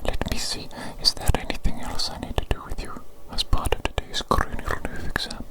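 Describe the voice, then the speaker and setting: A woman whispering softly into a close microphone, repeating each phrase and gently teasing as an ASMR doctor roleplay. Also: normal speed. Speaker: ASMR creator. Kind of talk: ASMR roleplay of a medical exam.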